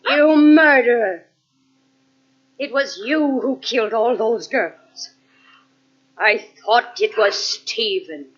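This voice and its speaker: A grimly resolute invalid stepmother in an old radio thriller. Her high, forceful voice is very slow and commanding, captured on a muffled tape. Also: heavily acted. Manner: deliberate, commanding, accusing. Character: stern bedridden stepmother, grimly resolute with a gun. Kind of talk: old-time radio suspense drama acting.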